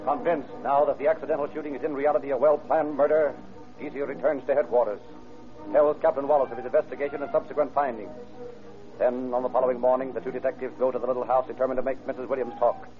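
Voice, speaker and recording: A man's voice: medium pitch, little pitch movement, fast. A man reading dramatic scripted narration in the style of old-time radio. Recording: narrow-band old radio recording over music. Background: music.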